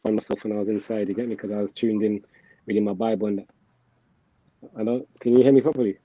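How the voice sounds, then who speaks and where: Very dark-voiced man, talking fast. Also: lowish voice, fairly even intonation, no room sound. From Jamaica, moved to England at thirteen. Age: 30s. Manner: frequent tag questions seeking agreement. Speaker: podcast guest. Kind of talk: casual autobiographical talk. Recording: dull, dry video call audio.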